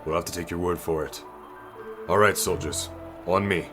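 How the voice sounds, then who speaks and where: A man playing a gruff, stalwart military captain who speaks in terse commands in an audio drama. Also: low voice, steady tone, normal speed, over music, close to an everyday voice. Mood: grave, commanding. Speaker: voice actor in audio roleplay.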